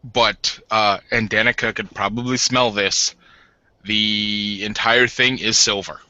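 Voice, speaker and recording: Male tabletop player, casual improvised narration. Very bright, forceful tone, close and dry but lo-fi. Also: fairly low pitch, fairly even intonation, takes it slowly, dry recording. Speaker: role-playing game player. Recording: dull lo-fi audio.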